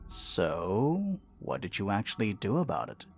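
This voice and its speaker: An actor playing a casual, inquisitive detective in a video game, probing in an informal, mildly baffled way. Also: male voice, lowish voice, wildly swooping pitch, a little slow, vocal fry, heavily acted. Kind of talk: video game voice acting, conversational. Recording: background music under the dialogue.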